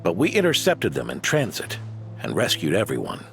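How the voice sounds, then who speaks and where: An actor playing an aging, modest, grandfatherly knight with a weathered voice and measured delivery in a video game. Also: low voice, wildly swooping pitch, vocal fry, a bit bright, soft background music, heavily acted. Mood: regretful.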